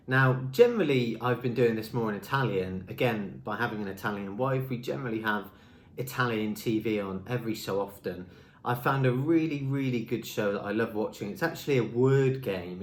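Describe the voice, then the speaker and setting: A man's voice: fairly low pitch, echoey room. A young man and native English speaker, chatting in an upbeat way as he presents a talking-head vlog. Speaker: language school marketing presenter. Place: indoor room with a screen behind him.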